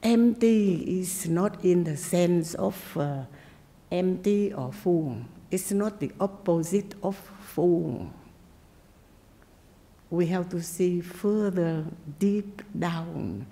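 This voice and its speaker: A woman, a Buddhist monastic, giving a meditation talk in simple, halting English that is not her first language, often pausing and checking that listeners follow. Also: fairly low pitch, animated, dark tone, long reverb tail. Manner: simple, halting non-native English with pauses and check-ins.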